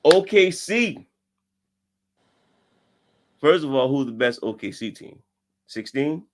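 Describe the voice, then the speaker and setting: A man co-hosting a casual sports podcast, relaxed and steering the topics, often repeating his words. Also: mid-range voice, takes it slowly. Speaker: podcast co-host. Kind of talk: casual sports debate chat.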